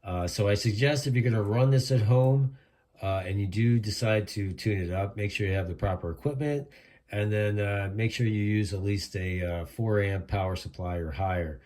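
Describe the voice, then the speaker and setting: Guy with a lowish voice rambling thru a casual gear review, hobbyist type, into it. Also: moderate pace, a little mellow. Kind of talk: casual product demonstration.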